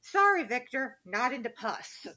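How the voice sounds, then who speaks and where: A woman co-host with a high, bright voice that moves all over in pitch. She jokes casually in slang, playful and emphatic. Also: big pitch swings, a little slow. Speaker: podcast co-host. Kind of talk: casual joking chat.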